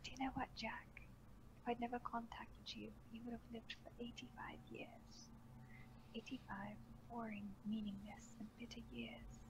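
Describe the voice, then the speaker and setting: Woman doing a soft whispery seer, slow and gloating, kinda high, gravelly, lo-fi hiss. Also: flat delivery, natural voice. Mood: soothing. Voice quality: whispery, soothing. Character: eerie female seer, calm manipulative spirit. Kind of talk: horror story reading.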